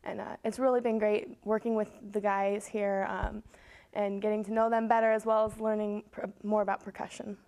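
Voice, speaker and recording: A woman's voice: medium pitch, little pitch movement, normal speed, a little mellow. A young woman, a student musician, speaking hesitantly with frequent ums. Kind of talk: interview statement.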